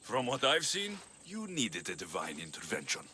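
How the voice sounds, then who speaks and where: An actor playing a self-assured, defiant ancient Greek mercenary in a video game drama, speaking in a firm, wry, challenging way. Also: male voice, mid-range voice, wildly swooping pitch, moderate pace, slight background noise. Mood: calm, wry. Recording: loud music underneath.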